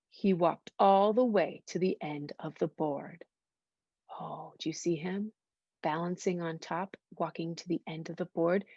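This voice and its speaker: A woman reading a storybook aloud to young children, expressive and simply phrased, stopping to ask them questions. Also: lowish voice, dry recording. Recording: dull, lo-fi sound.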